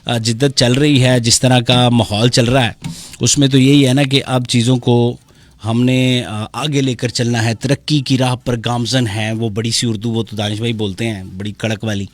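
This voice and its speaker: A man's voice: medium pitch, flat delivery. A man hosting a live radio show, chatting casually and playfully as he takes calls and messages. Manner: playful, chatty, breaks into bits of song. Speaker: radio host taking calls and reading messages. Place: radio studio.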